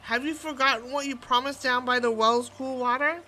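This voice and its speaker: An insistent little storybook frog, acted out by a woman reader, pitched high and calling out. It pleads and demands like a creature set on being let in, with music clearly heard behind it. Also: fairly even intonation, normal speed, bright, forceful tone. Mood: demanding. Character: pleading, insistent talking frog from a fairy tale.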